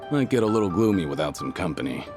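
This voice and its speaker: An actor playing a grave but gentle aging yakuza legend in a game cutscene, low and gravelly, speaking with measured restraint. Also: male voice, sing-song, over music. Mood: calm, warm. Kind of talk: video game cutscene acting.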